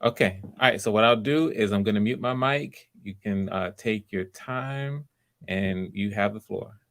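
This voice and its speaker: A man with a fairly low voice and a gravelly creak hosts auditions. He talks casually and encouragingly, often doubling up his phrases as he moves things along. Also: unhurried, fairly bright tone. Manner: encouraging, repeats himself. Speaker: audition host. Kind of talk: casual, conversational hosting.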